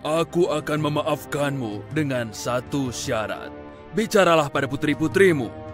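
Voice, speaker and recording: A booming lion-faced beast. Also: male voice, lively intonation, unhurried. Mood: stern. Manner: booming, indignant. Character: fearsome lion-faced male beast, angry and commanding. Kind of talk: cartoon dubbing. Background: background music.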